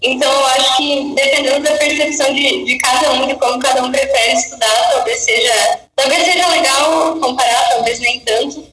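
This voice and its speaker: Bright high-voiced woman teacher, echoey. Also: steady tone, moderate pace. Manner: thoughtful, hesitant, many restarts. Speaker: English teacher. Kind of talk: live-stream interview chat. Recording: echoey room, far from mic, faint music.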